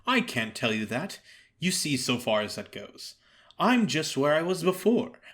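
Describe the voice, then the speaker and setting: A man's voice: on the high side, big pitch swings, unhurried, a bit bright. A man playing a glum minor detective with wounded pride, speaking in a subdued, curt, guarded manner for an audio drama.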